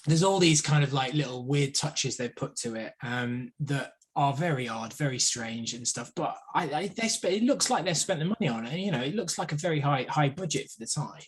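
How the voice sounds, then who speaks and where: A man's voice: fairly high pitch, expressive, brisk, hardly any pauses, slightly rough. A man in his forties chatting casually as a podcast panellist about films, emphatically repeating phrases for effect. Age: 40s. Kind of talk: casual film discussion.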